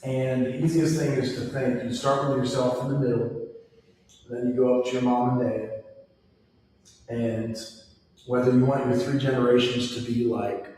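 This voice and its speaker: A man's voice: mid-range voice, a little mellow, slight background noise. A man in his forties giving a casual classroom lecture with chatty personal asides. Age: 40s. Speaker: instructor. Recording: echoey hall recorded from far off.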